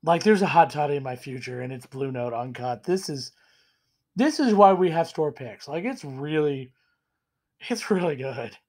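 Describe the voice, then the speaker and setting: A man in his thirties with a cold, hoarse and rambling as he tastes and reviews whiskey in a casual home vlog. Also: on the high side, animated, moderate pace. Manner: rambling, self-deprecating, enthusiastic. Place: small room indoors at home.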